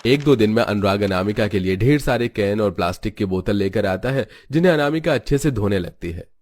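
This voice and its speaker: A man's voice: fairly low pitch, lively intonation, brisk, a little mellow. A man giving scripted narration of a story.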